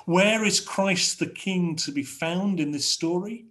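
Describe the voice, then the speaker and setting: A middle-aged man with an English accent preaching a sermon from a home study over a video call, in a measured, rhetorical way with repeated phrases. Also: high-pitched, a bit bright. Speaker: pastor. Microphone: video call webcam.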